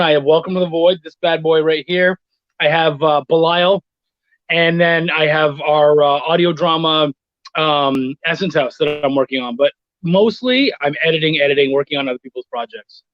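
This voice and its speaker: High-voiced middle-aged man, dull lo-fi audio. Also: no echo. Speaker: guest, freelance editor and writer. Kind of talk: casual interview chat.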